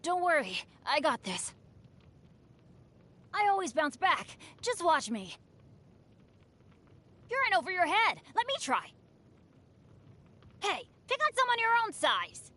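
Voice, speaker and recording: A plucky teenage boy adventurer in a video game. The voice is high and swings widely, with an unhurried pace. He is earnest, exclamatory and always hopeful despite bad luck. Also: big pitch swings, fairly bright tone, heavily acted. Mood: confident, plucky. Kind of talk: video game character voice lines.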